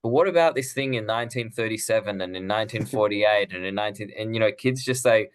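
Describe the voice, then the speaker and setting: A man's voice: on the low side, fast, clear, resonant tone. A middle-aged man, born abroad and raised elsewhere, speaking earnestly as an author in an interview, with frequent restarts. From Israel, raised in Australia. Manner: earnest, thoughtful, many restarts. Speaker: author and guest. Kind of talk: conversational interview.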